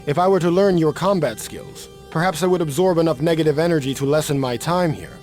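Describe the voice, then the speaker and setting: An actor playing an earnest wandering warrior champion in a video game, speaking politely and formally. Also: male voice, on the high side, a little fast, darkish tone, close to an everyday voice. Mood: earnest, composed. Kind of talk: video game cutscene acting. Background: music.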